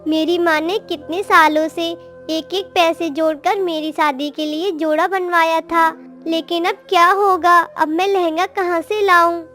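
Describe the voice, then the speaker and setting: Lady as a sweet young girl bird in a cartoon, really high voice, tender, bit of music. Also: fairly even intonation, a little slow, bright, forceful tone, full character voice. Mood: distressed. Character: sweet, devoted young daughter bird, a bride-to-be. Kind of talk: Hindi moral-story cartoon dubbing.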